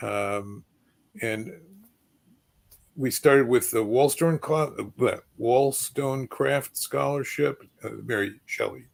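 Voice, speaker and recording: A man moderating an informal panel, speaking in halting, deliberate phrases. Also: mid-range voice, vocal fry.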